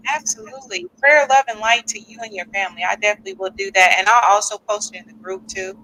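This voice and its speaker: Bright-voiced chatty woman host. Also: mid-range voice, fast talker, a little hiss or hum, low-quality recording. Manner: animated, chatty, reads out comments. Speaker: podcast host. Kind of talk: live call-in chat.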